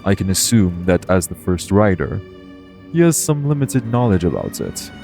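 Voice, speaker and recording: A man playing a calm, protective guardian angel in an audio roleplay, speaking in a formal, measured, earnest way. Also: low voice, faint music behind. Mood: solemn, explaining. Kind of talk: audio roleplay acting, addressed to the listener.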